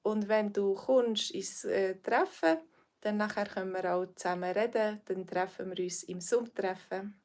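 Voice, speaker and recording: A woman's voice: medium pitch, unhurried, a little mellow. Swiss woman teaching on a livestream. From Switzerland. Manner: friendly, hesitant with frequent fillers, addresses viewers by name. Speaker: language teacher. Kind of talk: livestream Q&A with viewers.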